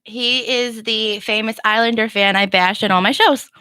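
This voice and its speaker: A young woman with a fairly high, bright voice hosts a casual chat about hockey. She teases her guest playfully, and the sound is close and dry. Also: steady tone, moderate pace, clean tone, dry recording. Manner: teasing, playful, laughs often. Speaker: host.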